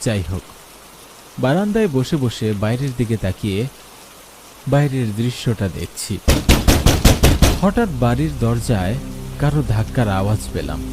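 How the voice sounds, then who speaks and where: A man playing a sceptical, baffled storyteller in a first-person horror cartoon, with an expressive, questioning manner. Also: medium pitch, normal speed, long pauses, loud music behind the voice, natural voice. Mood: suspenseful narrating. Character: sceptical, baffled man narrating his ghostly night encounter. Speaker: narrator and lead character.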